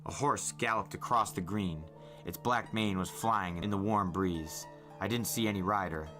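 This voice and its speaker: A man's voice: sits low, moderate pace, bright, forceful tone. A man reading a first-person novel aloud as a dramatic storyteller. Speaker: storyteller, podcast host. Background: faint music.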